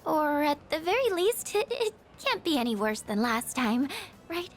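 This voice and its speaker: A woman playing a shy, gentle, insecure teenage girl in a video game, with a hesitant, apologetic, stammering way of talking. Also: high voice, sing-song, moderate pace, a little hiss or hum. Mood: shyly hopeful. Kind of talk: video game character dialogue voice acting.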